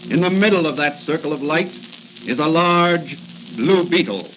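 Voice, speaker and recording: A man's voice: fairly high pitch, lively intonation, moderate pace, a little hiss or hum. A man narrating in dramatic 1940s radio style, theatrical and suspenseful. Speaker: announcer and narrator. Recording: muffled 1940 radio broadcast. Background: dramatic music.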